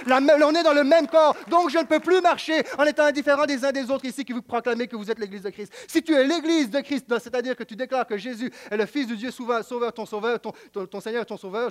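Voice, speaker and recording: A man preaching with a Quebec French accent, his voice sitting high. He hammers home repeated phrases. He swings from loud proclamation to hushed, intimate asides. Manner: emphatic, repetitive, swings between loud declaiming and quiet intimacy. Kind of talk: sermon.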